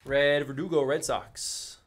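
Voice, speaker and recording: Young male host for a live card break, relaxed banter, calls out each card. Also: fairly high pitch, slow pace. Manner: casual, calls out cards, excited on big hits. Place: studio with card boxes.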